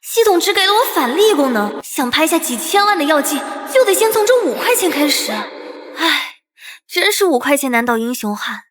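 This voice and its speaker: A high, very animated young princess, cheeky and self-amused. She schemes out loud in comic asides, with the flippant bounce of an animated short drama. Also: moderate pace, long reverb tail. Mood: determined.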